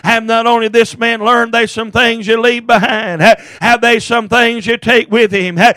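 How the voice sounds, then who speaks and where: A male preacher with a very high-pitched, strained-upward voice delivers a fiery evangelistic sermon. He breaks it into short halting phrases stitched together with repeated "uh, uh", pushing forcefully toward shouts. The sound is dry and close. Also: flat delivery, no room sound. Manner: halting phrases, each punctuated by "uh, uh" and a repeated address to the listener.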